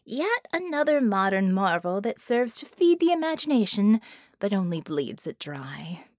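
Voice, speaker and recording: A woman's voice: mid-range voice, sing-song, normal speed, dry recording, clean studio sound, lightly acted. A woman voicing a haughty, cunning, bored villainess in a cage, with taunting, formal, theatrical phrasing, for an audiobook. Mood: haughty, scornful.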